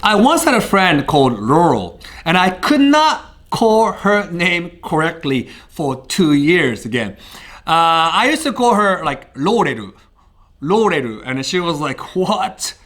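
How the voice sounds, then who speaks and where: A Japanese man in his thirties talks to camera in English with a British-tinged accent, pausing often on "uh." His voice sits fairly high and swings up and down as he talks. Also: sing-song, fairly bright tone. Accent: Japanese speaker of English with British traces. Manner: chatty, frequent "uh" fillers, playful. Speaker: vlogger. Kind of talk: casual personal storytelling to camera.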